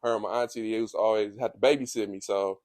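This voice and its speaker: Laid-back Detroit man, low calm voice. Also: quick pace, no room sound, clean studio sound. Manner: laid-back slang-heavy storytelling. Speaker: guest, comedian. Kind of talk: casual interview chat.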